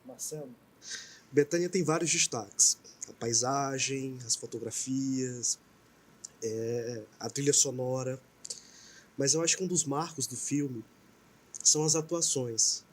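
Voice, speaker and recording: A Brazilian man interviewing a guest on a talk show, putting his questions deliberately in short, careful phrases. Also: medium pitch.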